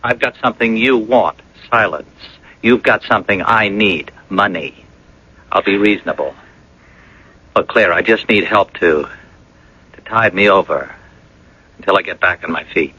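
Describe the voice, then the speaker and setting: A man's voice: on the low side, normal speed, long pauses, pushed and bright, slightly rough. An actor playing a broke, ailing blackmailer in his thirties, wheedling and insinuating in a radio drama. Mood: insinuating, threatening. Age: 30s. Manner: wheedling, insinuating, calls her doll. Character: broke, ailing 39-year-old blackmailing ex-boyfriend, slyly menacing. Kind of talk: 1980s radio drama acting. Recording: dull lo-fi old radio broadcast.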